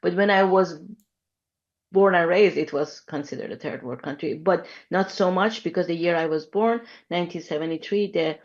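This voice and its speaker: A woman in her fifties, from Iran, talks as a podcast guest in a deep, low voice. She is reflective and measured, often pausing with ums as she gathers her thoughts. The recording sounds slightly dull and lo-fi. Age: 50s. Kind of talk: conversational interview.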